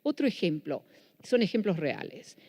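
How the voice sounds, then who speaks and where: Lecturer: woman, Buenos Aires accent, warm low tone, long hall reverb. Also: big pitch swings, moderate pace. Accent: Buenos Aires Spanish. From Netherlands. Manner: self-correcting, with frequent restarts. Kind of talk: public lecture.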